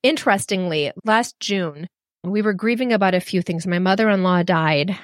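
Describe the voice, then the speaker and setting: A middle-aged woman telling a personal story in a frank, animated and self-deprecating way. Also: fairly low pitch, no room sound, studio quality. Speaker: storyteller guest.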